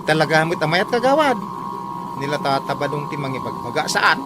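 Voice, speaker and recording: An actor playing a cheeky, eager tricycle driver in a radio comedy, animated and exclamatory, with an Ilocano accent. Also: mid-range voice, a little fast, vocal fry, heavy background noise. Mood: boastful. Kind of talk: Filipino radio comedy drama acting.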